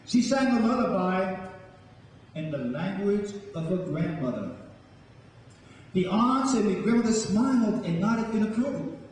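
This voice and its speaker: A man speaking as a poet and storyteller to a live audience, with a deliberate, dramatic delivery. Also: high voice, expressive, a little mellow. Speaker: spoken word poet and storyteller. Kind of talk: dramatic poetry reading and talk to a live audience. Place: large echoing room with a live audience. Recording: echoey hall, distant mic, faint noise.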